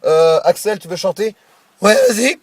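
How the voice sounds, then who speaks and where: A man with a high-pitched voice plays radio game host on a prank call. He improvises his patter and pushes his contestant along with hyped-up, boisterous urging. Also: moderate pace, no room sound. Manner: boisterous, pushy, hyped-up exhortations.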